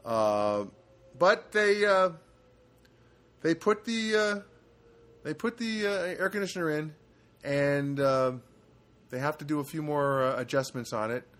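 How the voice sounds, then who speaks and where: A man hosting a casual solo podcast from a studio apartment, rambling and halting with many fillers. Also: a little high, animated, fairly bright tone. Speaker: podcast host.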